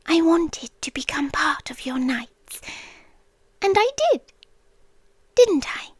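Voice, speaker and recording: A British woman playing an obsessive, teasing yandere girlfriend in a whispered, breathy ASMR roleplay with long pauses. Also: high-pitched, animated, dry recording. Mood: teasing, eerie.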